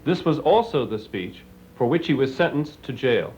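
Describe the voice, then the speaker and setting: A man with a mid-range voice narrates historical background at a steady, explanatory pace. The recording sounds dull and lo-fi. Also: mid-range voice, normal speed, a little hiss or hum.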